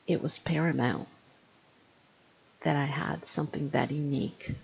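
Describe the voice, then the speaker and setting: A woman in her fifties talks through a TV review like an English teacher, deliberate and explanatory, slowing to stress her points. Her voice is heavily gravelly with a lot of fry, and a faint hum of room noise sits behind her. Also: low-pitched, darkish tone, poor audio. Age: 50s. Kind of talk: spoken video review.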